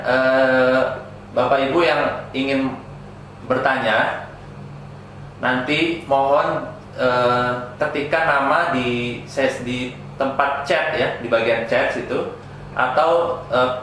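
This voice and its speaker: A man's voice: medium pitch, steady tone, slow pace, fairly bright tone, a little hiss or hum. Polite male moderator in a very echoey room. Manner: polite, gives instructions. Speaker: webinar moderator. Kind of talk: hosting a webinar.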